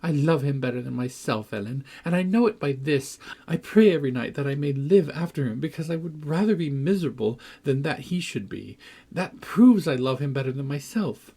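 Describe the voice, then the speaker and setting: A man's voice: a little high, lively intonation, a little fast, dark tone. A man voicing an impassioned young girl. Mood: fervent. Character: spirited young girl, devoted and willful.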